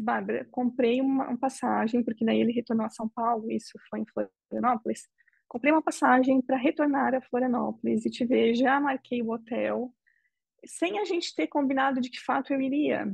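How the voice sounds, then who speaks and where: Gravelly, husky Brazilian woman recounting. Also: on the high side, a little mellow, no echo. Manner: measured, reflective, frequent "né?" tag. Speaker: interviewee. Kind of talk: emotional personal testimony.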